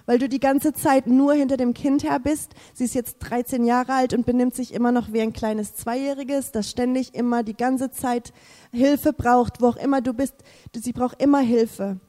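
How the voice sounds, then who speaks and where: Fervent high-voiced woman in a hall. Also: fairly even intonation, moderate pace, dark tone. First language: English. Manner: fervent, repetitive, searching for words. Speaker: speaker giving a testimony. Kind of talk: emotional faith testimony.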